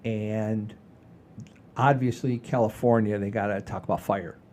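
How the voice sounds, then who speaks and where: A man's voice: fairly low pitch, fairly even intonation, a little slow, a little mellow, slight background noise. A man in his sixties hosting a casual comedy podcast, telling stories in an emphatic, repetitive, freely swearing way. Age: 60s. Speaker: podcast host. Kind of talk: casual comedic storytelling chat.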